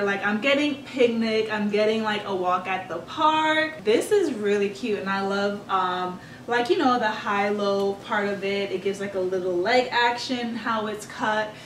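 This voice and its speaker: A woman's voice: mid-range voice, a bit bright. A young woman reviewing clothes in a casual haul video, chatty and upbeat, with frequent "like" and "um". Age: young adult. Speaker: fashion vlogger. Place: bright room with clothing rack, indoors. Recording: roomy, slightly distant, faint room noise.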